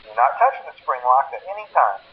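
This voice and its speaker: A man playing an awkward, polite corporate trainer in a video game, leaving deadpan workplace instructions through a telephone filter. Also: mid-range voice, a little fast. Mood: awkward, matter-of-fact. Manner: hesitant greetings, then polite deadpan procedural delivery. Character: nervous, cheerful corporate phone trainer at a pizzeria. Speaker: employee training caller. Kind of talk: recorded workplace training message. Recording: muffled lo-fi phone recording with faint noise.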